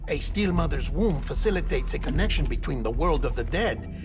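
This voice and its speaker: A man's voice: a little high, animated, brisk, natural voice. A man playing an earnest, slightly awkward medical expert in a video game, explaining things in precise technical terms. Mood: explanatory. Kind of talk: video game cutscene acting. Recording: music playing behind the voice.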